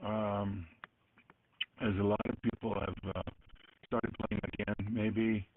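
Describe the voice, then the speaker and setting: An older man, in his sixties or beyond, chatting casually over two-way radio in a halting, reflective way. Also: fairly low pitch, flat delivery. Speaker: amateur radio operator in an on-air conversation. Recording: narrow phone-like radio audio, clear noise.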